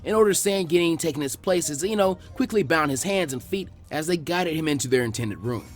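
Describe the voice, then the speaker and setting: Animated, higher-pitched male story narrator. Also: a little fast, soft background music. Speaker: fan fiction narrator. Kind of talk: expressive scripted story narration.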